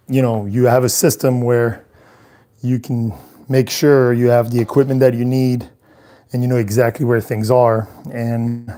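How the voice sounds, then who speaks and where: A man who is losing his voice, talking casually in a hotel room about his travel work as a cinematographer, halting with many ums. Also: medium pitch, dark tone. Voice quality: hoarse. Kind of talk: casual vlog talk while unpacking gear.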